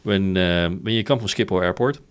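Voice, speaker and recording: A man's voice: low-pitched, brisk, smooth. A man from the Netherlands, chatting casually as an interview guest and explaining things in short, informal bursts that often end in a checking question. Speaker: interview guest, company founder.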